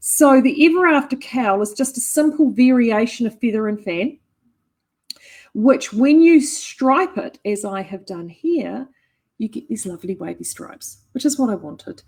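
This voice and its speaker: A woman's voice: fairly high pitch, animated, a little slow, darkish tone, breathy edge. A middle-aged woman with a New Zealand accent chatting casually to camera from her yarn shop, enthusiastic and full of fillers and restarts. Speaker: yarn shop owner and knitting vlogger.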